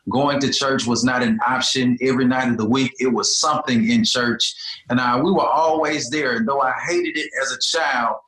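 A man's voice: medium pitch, fairly even intonation, moderate pace, a bit bright. A man, a pastor telling his story in an interview with an earnest, repetitive preacher's cadence. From Birmingham, Alabama. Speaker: pastor, guest. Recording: echoey room.

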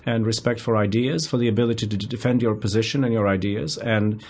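A man from Poland with a Polish accent, speaking as a guest professor in an academic interview, thoughtful and measured, sometimes restarting his words. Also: on the low side, steady tone, a little mellow.

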